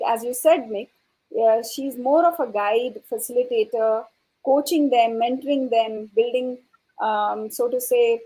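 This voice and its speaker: A woman's voice: on the high side, warm tone, slightly rough, poor audio. A woman speaking as an educator on a panel, explaining her ideas. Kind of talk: explanatory panel discussion talk.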